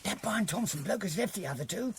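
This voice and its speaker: An actor playing a chirpy, matey, excitable English convict lookout in a radio comedy. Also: male voice, sits high, quick pace, husky, heavily acted. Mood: excited reporting. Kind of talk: British radio comedy acting.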